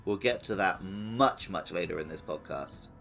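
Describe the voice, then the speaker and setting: A man's voice: low voice, moderate pace, creaky, a bit bright, faint music behind. A man co-hosting a podcast, chatting casually about games. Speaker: podcast co-host. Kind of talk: casual video game discussion.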